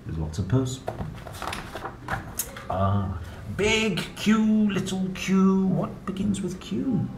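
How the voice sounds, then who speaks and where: A young man reads aloud to small children with a playful, sing-song delivery that swings very widely in pitch. He goes slowly, as if chatting directly to his listeners. The sound is roomy and a little distant from the mic. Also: big pitch swings, gravelly. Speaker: children's book reader and presenter. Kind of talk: animated read-aloud of a children's alphabet book. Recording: roomy, distant mic with faint background noise.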